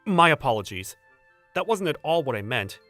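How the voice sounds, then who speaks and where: A man acting an earnest, put-upon young office worker who narrates and voices his troubles in a manga dub, with expressive delivery. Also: on the high side, moderate pace, faint music behind. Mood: puzzled.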